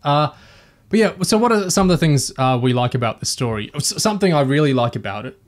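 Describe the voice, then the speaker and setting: A man's voice: a little high, lively intonation, fast. A man hosting a podcast, talking through a review discussion with enthusiasm.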